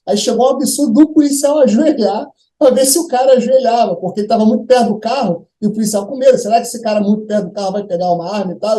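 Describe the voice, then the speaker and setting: Brazilian male guest, high soft-toned voice, tentative and casual, echoey space. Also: a little hiss or hum. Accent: Brazilian Portuguese. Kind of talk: casual conversation.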